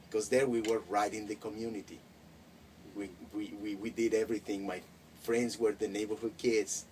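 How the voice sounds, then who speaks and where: Middle-aged man, Spanish first language, low voice heard from across the room, thoughtful and full of restarts. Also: flat delivery, moderate pace, darkish tone. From Mexico. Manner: reflective, many hesitations and restarts. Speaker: interviewee. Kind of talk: casual interview. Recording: distant mic with faint noise.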